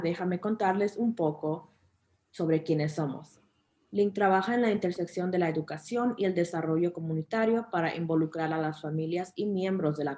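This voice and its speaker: A woman's voice: lowish voice, darkish tone. A woman working as interpreter for a children's reading program, delivering a scripted translation. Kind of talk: scripted translation of a welcome talk.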